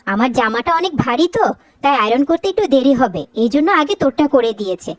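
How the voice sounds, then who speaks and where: Woman, high lively cartoon voice, quarrelsome and scolding, dry studio sound. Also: fast, no room sound. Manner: scolding, quarrelsome sisterly delivery. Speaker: voice actor for several female cartoon characters. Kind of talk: dubbed cartoon dialogue.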